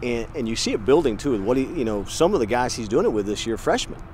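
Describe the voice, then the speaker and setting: A young man hosting a sports interview outdoors at a ballpark, upbeat and brisk as he sets up his questions. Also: medium pitch, very animated, a little mellow, a little hiss or hum. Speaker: host. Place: outdoors at a baseball field. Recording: music bed underneath. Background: music.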